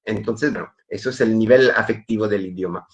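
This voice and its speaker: A man's voice: lowish voice, a little fast, highs cut off. Hesitant man with a French accent. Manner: hesitant, many repeated words. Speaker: webinar speaker. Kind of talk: webinar talk. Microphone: video call.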